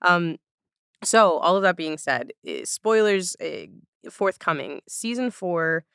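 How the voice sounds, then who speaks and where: A woman's voice: fairly low pitch, animated, slow pace, a bit bright, smooth, no room sound, clean studio sound. A woman hosting a casual podcast conversation, thoughtfully working through long, winding sentences. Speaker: podcast host.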